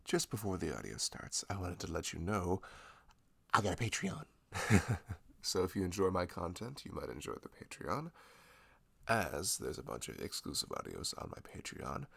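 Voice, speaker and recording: A man gently giving a casual, laid-back introduction as an audio roleplay creator. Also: low voice, sing-song, moderate pace, gravelly, husky.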